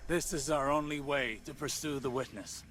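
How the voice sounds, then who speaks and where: A man playing an earnest, scholarly sorcerer in a video game, deliberate and precise as though explaining a theory. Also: mid-range voice, background noise, natural voice. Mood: urgent. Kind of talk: dramatic video game acting.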